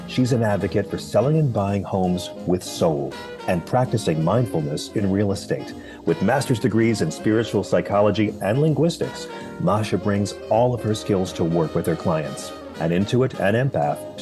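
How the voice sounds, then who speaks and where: A male announcer reads a scripted promotional intro in a fairly low voice. Guitar music plays clearly behind him.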